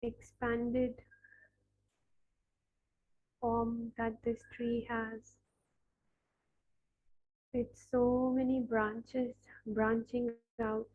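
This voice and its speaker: A woman leading a guided meditation, speaking softly with long pauses between phrases. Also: a little high, level tone, phone-quality sound, slight background noise. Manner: slow, soft, pausing between phrases.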